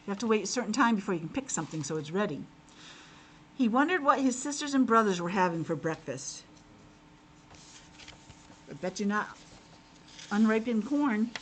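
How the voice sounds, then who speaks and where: A woman's voice: mid-range voice, lively intonation, normal speed, long pauses, a little hiss or hum, poor audio. A woman teacher reading a picture book aloud to young children, expressively, with simple asides to her listeners.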